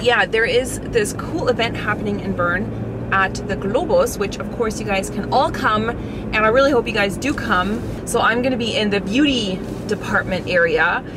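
A woman's voice: mid-range voice, normal speed. Chatty woman vlogger in noisy surroundings. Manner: informal, chatty, frequent "like". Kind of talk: casual vlog chat. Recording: clearly noisy, car and outdoor ambience.

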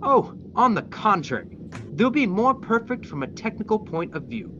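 A clinical, matter-of-fact male scientist acted by a man in a radio drama. His pitch moves animatedly as he explains. The sound is muffled, with clear noise behind. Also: very animated, normal speed. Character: clinical, detached male scientist at robot factory. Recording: noisy, dull live drama recording.

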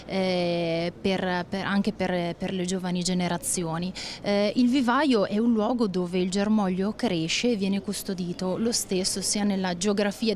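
Marketing director interviewee, female, thirties, mid-pitched voice, measured and formal delivery. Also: a little mellow. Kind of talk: on-camera interview answers, promotional explanation. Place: outdoor courtyard. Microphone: handheld reporter microphone.

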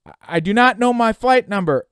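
A man voicing a terrified, desperate boy in a dramatic audiobook, with a halting, urgent, shouting delivery. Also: high-pitched, lively intonation, clean tone, no echo, clean studio sound, pitched above the performer's own voice. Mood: urgent pleading. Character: terrified boy in a failing plane, desperate, pleading.

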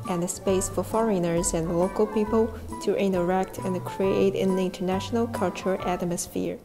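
Woman narrator, scripted voice-over, mid pitch, music underneath. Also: steady tone, moderate pace. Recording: music playing behind.

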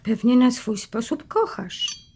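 Forthright, higher-voiced woman friend. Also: animated, takes it slowly. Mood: challenging, reproachful. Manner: direct, questioning. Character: forthright woman friend who challenges men with pointed questions. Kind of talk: naturalistic audio drama dialogue. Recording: faint noise with tableware clinks.

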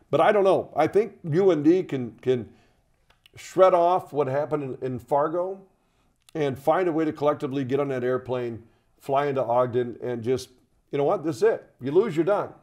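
An older man in a conversational, halting studio sports discussion, often repeating words as he makes his point. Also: mid-range voice, a little mellow. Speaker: radio play-by-play commentator.

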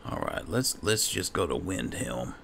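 A man's voice: deep voice, lively intonation, measured pace, vocal fry, a bit bright, slight background noise. A man roleplaying a character in a game playthrough, narrating casually and without hurry. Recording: background music under the voice. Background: game music.

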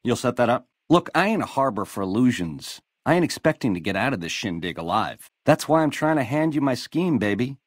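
An actor playing a slick, cocky American casino gangster boss in a video game, swaggering through retro lounge slang. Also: male voice, medium pitch, very animated, brisk, hardly any pauses, dry recording, studio quality. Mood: wry, scheming. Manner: swaggering retro lounge-lizard slang, calls people baby. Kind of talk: video game character dialogue.

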